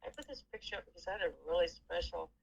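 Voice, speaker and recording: A woman's voice: on the low side, racing, gravelly, slightly rough. Middle-aged woman on a dull line. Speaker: aunt. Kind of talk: casual phone chat.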